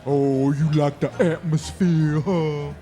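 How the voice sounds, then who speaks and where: An actor playing a mocking, menacing cowboy bully with a taunting drawl in a western radio drama. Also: male voice, on the high side, steady tone, takes it slowly, mellow. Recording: music behind the voice.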